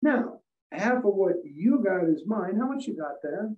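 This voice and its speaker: A man's voice: sits high, big pitch swings, unhurried, recorded from a distance. An older man playing a sly, slick comedy husband with hesitant, muttering patter in 1940s radio style. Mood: slyly instructing. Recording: roomy, muffled, off the mic.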